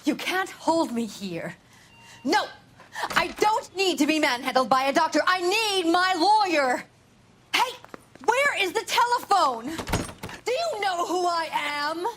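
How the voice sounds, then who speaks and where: Shrill, outraged haughty woman. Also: expressive, measured pace. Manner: imperious, shrill shouting. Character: haughty, outraged woman patient demanding her lawyer. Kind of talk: cinematic detective game dialogue. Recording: distant voice with clear background noise.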